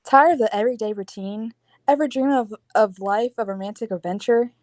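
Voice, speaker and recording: A woman's voice: fairly high pitch, fairly quick, darkish tone, no room sound, clean studio sound. A woman announcer reading a scripted introduction in the style of 1940s radio drama.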